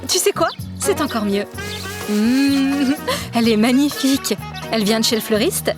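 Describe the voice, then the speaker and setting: An actress playing a brainy, teasing young woman student in a French audio drama, playful and expressive in her manner. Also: a little high, moderate pace, lightly acted. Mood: delighted. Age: young adult. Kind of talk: French audio fiction acting. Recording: music behind the voice. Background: music.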